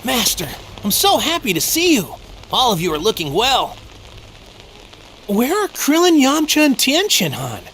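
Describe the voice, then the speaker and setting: A woman's voice: a little high, wildly swooping pitch, measured pace, fairly bright tone, slight background noise, exaggerated voice, rough recording. A woman voicing a cheerful, naive young male martial artist in an anime game. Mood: earnest, cheerful. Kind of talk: English anime game voice acting.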